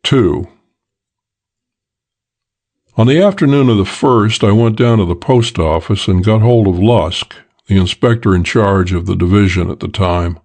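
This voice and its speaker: Guy reading a detective audiobook, slow and gravelly, takes his time. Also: on the low side, darkish tone. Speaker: audiobook narrator. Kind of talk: first-person detective story reading.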